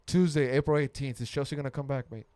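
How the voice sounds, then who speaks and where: A man's voice: mid-range voice, steady tone, fast, dark tone, no echo. A man hosting a football podcast in casual, matey banter full of slang. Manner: matey slang, frequent use of "mate". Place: podcast studio.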